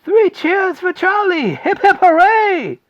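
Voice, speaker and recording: A man voicing an excitable ninety-six-year-old grandfather who shouts with joy in a high, cracking old man's voice. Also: creaky, no room sound, full character voice. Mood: jubilant. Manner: loud, drawn-out exclamations. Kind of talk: audiobook character voice. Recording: dull lo-fi recording.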